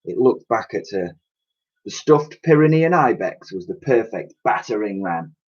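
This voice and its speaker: A man reading a children's storybook aloud as a teacher would, with expressive narration, on a slightly dull lo-fi recording. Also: fairly low pitch, measured pace, husky.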